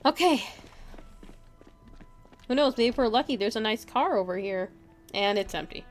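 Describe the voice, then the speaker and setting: A woman's voice: fairly high pitch, expressive, normal speed, soft background music. A woman streaming a video game live with casual, chatty commentary, often muttering to herself and to game characters.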